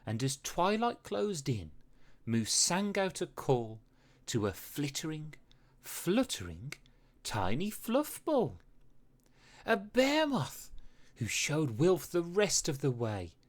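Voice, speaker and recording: A storybook narrator reads rhyming verse for children. The delivery is unhurried and full of dramatic swings, with expressive phrasing throughout. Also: mid-range voice. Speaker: storybook reader. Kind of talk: children's read-aloud narration in rhyme.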